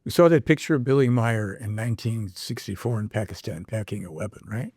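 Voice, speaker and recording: A man's voice: on the low side, a little fast, darkish tone, slightly rough, no room sound, studio quality. A man hosting a podcast, reading a prophetic text aloud and breaking off with his own commentary and rhetorical questions. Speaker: podcast host. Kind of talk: reading aloud and casual discussion.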